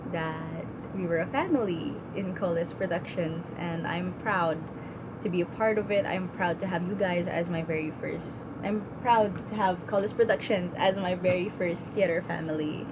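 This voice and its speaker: A young woman vlogging in a casual, heartfelt way, with plenty of ums and likes. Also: on the low side. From Philippines. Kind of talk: casual reflective vlog. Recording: noisy room with air conditioning hum.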